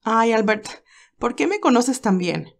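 A woman voicing a cheerful but anxious young nurse who talks in a lively, hesitant way. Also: fairly high pitch, a little slow, vocal fry, exaggerated voice. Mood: worried.

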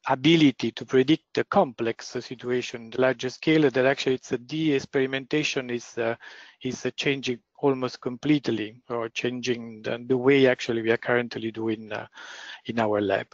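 A male process engineer giving a webinar presentation in a loud voice, pausing often with fillers and restarts. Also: mid-range voice, steady tone, no room sound, highs cut off. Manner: hesitant, many fillers and restarts. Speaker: guest lecturer, process engineer.

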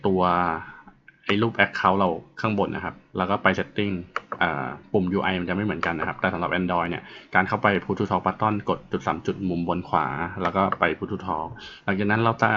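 A man presenting an informal tutorial, explaining step by step in a halting way. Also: fairly low pitch, a bit bright. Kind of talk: informal app walkthrough narration. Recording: muffled, dull audio with faint noise and clicks.

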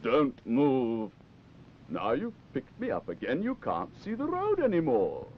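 A grumpy, scolding talking parcel, swooping wildly in pitch. It is bossy and indignant, in sixties puppet-show style on dull film sound. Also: male voice, huge pitch swings, measured pace, slight background noise. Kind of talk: 1960s children's puppet show acting. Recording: dull 1960s television film soundtrack, music.

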